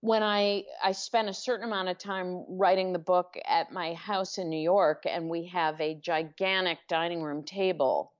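A woman author being interviewed, speaking thoughtfully with pauses and self-corrections. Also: fairly low pitch, steady tone, lo-fi sound. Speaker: author guest. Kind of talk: podcast interview.

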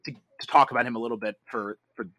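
Male podcast host, bright and slightly high, casual conversational delivery, dry sound. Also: fairly even intonation, fast, no echo.

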